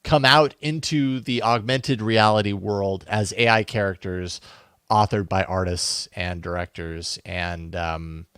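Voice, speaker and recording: Man, deeper register, podcast presenter, casual news discussion, thinking aloud, very dry recording. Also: a little slow, a bit bright, smooth, no room sound.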